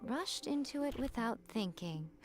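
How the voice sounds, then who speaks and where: A woman's voice: a little high, expressive, unhurried. A woman playing a sly, haughty fox-spirit shrine woman in a video game, condescending and poised. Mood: amused, coy. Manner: arch, condescending, teasing. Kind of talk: English video game voice acting. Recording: game music behind the voice.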